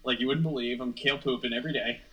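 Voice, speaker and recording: A man's voice: medium pitch, fairly even intonation, very fast, fairly bright tone, breathy edge, lo-fi sound. A man co-hosting a podcast in casual joking chat, goofy and self-mocking with crude humor. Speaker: podcast co-host.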